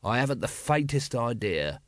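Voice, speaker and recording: A man's voice: mid-range voice, sing-song, moderate pace, no echo, natural voice. Man as a tired watch captain, exasperated, hesitant, snappish, storybook fantasy, dry close sound. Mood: resigned, unsure. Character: weary, exasperated male police captain of the city watch.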